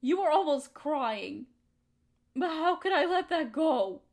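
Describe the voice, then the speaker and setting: A woman's voice: high-pitched, very animated, slow pace, a little mellow, exaggerated voice. A woman playing a hurt, resentful young woman confronting her roommate in an emotional, accusatory audio roleplay monologue. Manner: emotional, accusatory, pointed rhetorical questions.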